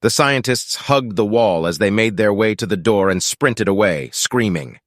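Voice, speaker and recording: A man's voice: on the low side, lively intonation, moderate pace, no room sound, clean studio sound. A man reading scripted fiction as an audiobook narrator.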